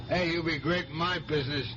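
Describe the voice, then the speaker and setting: A man plays a gruff working truck driver, folksy and blunt with a short temper. The voice is fairly high for a man, acted in 1970s radio style. The recording is muffled, with plain noise behind it. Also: natural voice. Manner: blunt, colloquial. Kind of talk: 1970s radio drama acting.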